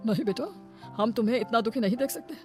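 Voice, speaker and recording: A woman's voice: on the high side, fast talker, close to an everyday voice. A woman voicing a kindly, grieving older father-in-law in a cartoon family melodrama, earnest and consoling, with music behind. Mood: caring.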